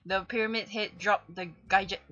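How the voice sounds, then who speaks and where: A woman's voice: medium pitch, steady tone, normal speed, fairly bright tone, dry recording, dull audio. A woman reading fan fiction aloud with comic drama and casual chat, giggling and stumbling over her words. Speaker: streamer hosting a fanfic reading.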